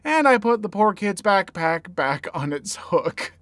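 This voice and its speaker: A man hosting a podcast, reading listener stories aloud and adding his own comments, with expressive swings between loud and hushed delivery. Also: sits high, unhurried, no room sound.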